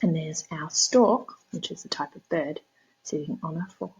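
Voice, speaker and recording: A woman's voice: low-pitched, moderate pace, husky, poor audio. An Australian woman, a primary teacher, reading a storybook to young children, explaining words and prompting them with questions.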